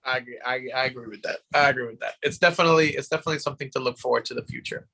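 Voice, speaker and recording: A man's voice: mid-range voice, racing, pushed and bright. A man hosting a casual podcast chat, often repeating his phrases for emphasis. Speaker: podcast host.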